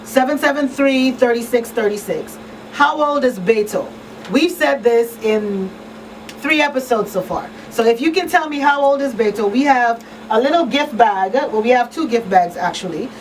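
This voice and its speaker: A woman's voice: fairly high pitch, lively intonation, moderate pace. A middle-aged woman hosting a conversational radio talk show, speaking earnestly and repeating phrases for emphasis. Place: small radio studio. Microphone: boom-arm studio microphone. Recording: distant mic, faint room noise.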